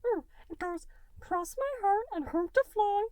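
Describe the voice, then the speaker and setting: A woman voicing a childlike male cartoon goo creature, high-pitched and rambling with eager enthusiasm. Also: expressive, measured pace, darkish tone, no echo. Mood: eager, excited. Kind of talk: breathless rambling cartoon retelling.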